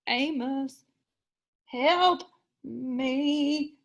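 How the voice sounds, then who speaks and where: Woman voicing a slow, tender storybook whale. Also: high-pitched, muffled recording, voice pushed up, heavily acted. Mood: desperate, pleading. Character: huge, big-hearted male whale, tender and helpless.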